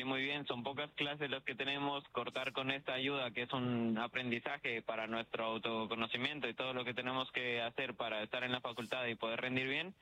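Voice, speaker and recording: A man's voice: mid-range voice, pushed and bright, low-quality recording. A young man with an Ecuadorian accent answering questions as a student in a spontaneous street interview. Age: young adult. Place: outside a university building. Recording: faint noise on street interview.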